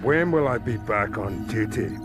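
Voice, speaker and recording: A man playing a gruff, sardonic space marine in a science fiction drama, blunt in manner. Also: on the low side, lively intonation, unhurried, over loud music.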